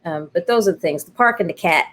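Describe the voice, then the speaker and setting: A middle-aged American woman speaking reflectively and emphatically in a personal conversation, pausing and repeating phrases for weight. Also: lowish voice, a bit bright. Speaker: interview guest, playwright.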